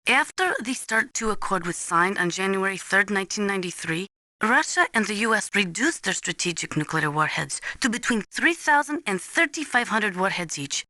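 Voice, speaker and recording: A woman's voice: mid-range voice, very animated, moderate pace, pushed and bright, no room sound. A woman voicing a serious, knowledgeable military analyst in a video game, briefing in a precise, formal, instructive way. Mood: grave, informative.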